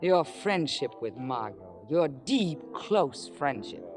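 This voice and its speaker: A woman's voice: rumbling low, very animated, takes it slowly, full character voice. A woman acting a coolly manipulative, ambitious young actress in a vintage radio drama. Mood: coolly threatening. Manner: silky, calculating, theatrical. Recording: old radio broadcast with background music.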